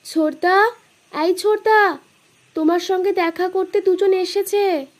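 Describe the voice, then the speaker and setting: A woman playing an attentive, soft-spoken woman of the household in an audio detective drama. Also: very high-pitched, fairly even intonation, a little slow. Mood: polite, calling.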